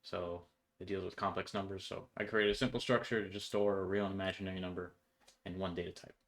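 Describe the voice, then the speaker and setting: A man's voice: low voice, level tone, quick pace, fairly bright tone. A young man giving an informal code walkthrough in an offhand, slangy way. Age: young adult. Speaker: programmer presenting his project. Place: indoor room at home.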